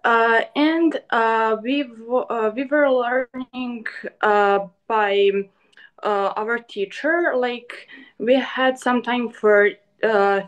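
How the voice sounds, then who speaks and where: Slow, hesitant woman. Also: on the high side. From Serbia. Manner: hesitant, many "uh" pauses. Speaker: former master's student and business owner. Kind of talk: panel interview answer.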